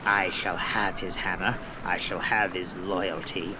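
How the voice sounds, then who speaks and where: A man playing a vain, overconfident necromancer villain with a pompous, deliberate manner in a fantasy audio drama. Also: low voice, fairly even intonation, heavy background noise. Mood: grandly confident. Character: self-styled nice male necromancer, vain, overconfident villain.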